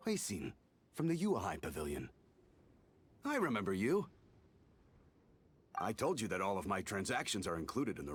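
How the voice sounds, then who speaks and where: A man's voice: low-pitched, fairly bright tone. An actor playing a shifty male merchant in a video game, evasive and stammering when cornered, over game music. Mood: smug.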